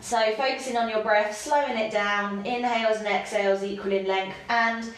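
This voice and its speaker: A young woman with a stuffy nose from hay fever, teaching a casual yoga class at home, chatty with laughing asides, then slow and calm cues. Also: mid-range voice. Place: home room with yoga mat. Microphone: camera across the room. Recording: very echoey room, faint background hiss.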